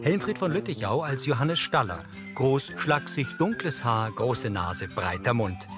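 A man narrates scripted audio description. His delivery is measured and neutral at a middle pitch. Music plays behind him. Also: big pitch swings. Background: background music.

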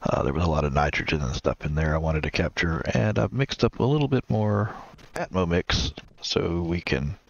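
A congested man with a deep, gravelly voice hosts a gameplay series, walking viewers through his projects in a relaxed way. He pauses often to fill in with uhs. The recording sounds slightly dull and lo-fi. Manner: relaxed, explains as he builds, many uhs. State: stuffed up, congested. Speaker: let's play host. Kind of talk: casual gameplay commentary.

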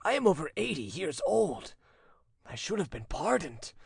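A man's voice: sits high, very animated, unhurried, gravelly, slightly rough, dry recording, studio quality, pitched above the performer's own voice, heavily acted. A man voicing an anguished, tender old fisherman in his eighties in a dramatic audiobook, pleading and mournful. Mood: tearful, remorseful. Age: 80s. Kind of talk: dramatic audiobook character reading.

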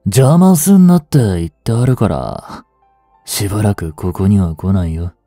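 An actor playing a rough, cocky mafia boss with hidden tenderness in an intimate audio drama, gruff and teasing in a drawl. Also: male voice, fairly low pitch, animated, unhurried, mellow, dry recording. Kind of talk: intimate Japanese-style dramatic voice acting aimed at women listeners. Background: music.

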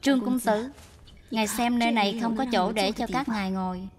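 A woman voicing a gentle, dutiful young daughter-in-law in a cartoon dub, soft, earnest and deferential. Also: on the high side, a little fast, a crowd in the background, close to an everyday voice. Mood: humble, concerned.

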